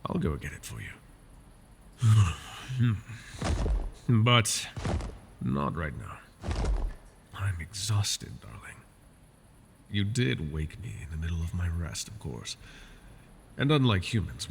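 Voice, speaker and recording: A man voicing a wounded male dragon who keeps a human captive, soft-spoken and polite, often slipping into whispers, for an ASMR fantasy roleplay. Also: deep voice, gravelly, slight background noise, heavily acted. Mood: tender. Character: wounded male dragon, possessive yet doting captor.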